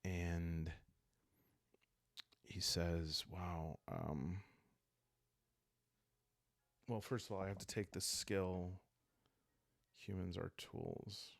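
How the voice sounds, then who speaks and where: A man hosting a solo roleplay game playthrough, calm and soft-spoken, improvising the story and thinking aloud as he goes. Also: sits low, drawn-out words, gravelly, pauses often, no room sound. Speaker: host playing a solo journaling roleplay game.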